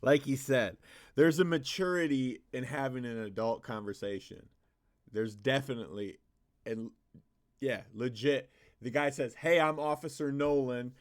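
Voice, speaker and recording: A man's voice: mid-range voice, measured pace. Young adult male reaction host, sarcastic, exasperated, foul-mouthed. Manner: sarcastic, exasperated, swears a lot. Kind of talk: casual reaction commentary.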